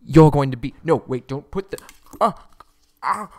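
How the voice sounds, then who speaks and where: A man's voice: on the high side, lively intonation, normal speed, a little mellow, dry recording. A man playing a haughty but frightened young kidnapped prince in an ASMR roleplay audio drama, stammering and pleading, close on the mic. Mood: outraged, cursing, then panicked, submissive.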